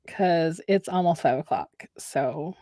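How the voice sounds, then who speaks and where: A woman's voice: deep voice, takes it slowly, dark tone, dry recording, studio quality. A young woman hosting a casual chat with a friend, talking in a chatty, easygoing way and laughing often. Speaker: podcast host.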